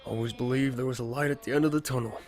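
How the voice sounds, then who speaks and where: A man acting a grieving young man in an audio drama, speaking tenderly and haltingly about his sister. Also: mid-range voice, steady tone, a little mellow, natural voice. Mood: earnest, tender.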